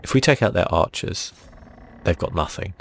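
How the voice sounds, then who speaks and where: Very deep, husky, soft-spoken man. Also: expressive, normal speed. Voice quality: soft, breathy, often half-whispered. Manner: casual, laid-back, musing to himself. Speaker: gaming channel host. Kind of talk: relaxed ASMR game commentary.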